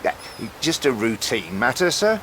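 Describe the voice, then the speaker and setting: An actor playing a small-town police constable in an audio drama, blustering yet hesitant and apologetic. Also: male voice, medium pitch, sing-song, normal speed, noisy background, natural voice. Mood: apologetic, flustered. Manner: blustering with his assistant, hesitant and deferential otherwise. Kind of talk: full-cast audio drama acting.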